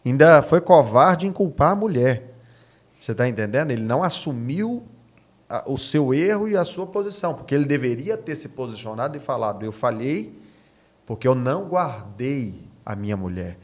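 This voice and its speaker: A man's voice: medium pitch, lively intonation, normal speed. A Brazilian man, a pastor, talking as an interview guest in a didactic, preaching way, repeating key phrases for emphasis.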